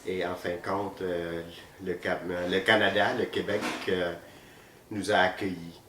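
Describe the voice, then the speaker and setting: Slow, deep-voiced man, hesitant, distant mic. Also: flat delivery, sharp tone. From Vietnam. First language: Vietnamese. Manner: hesitant, many pauses with "euh". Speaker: interviewee. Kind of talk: interview answers. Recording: roomy, distant, faint noise.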